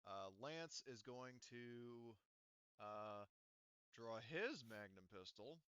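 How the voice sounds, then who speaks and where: A man running a tabletop roleplaying game as game master, narrating casually and haltingly as he thinks aloud. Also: mid-range voice, expressive, a bit bright, smooth, no echo, highs cut off.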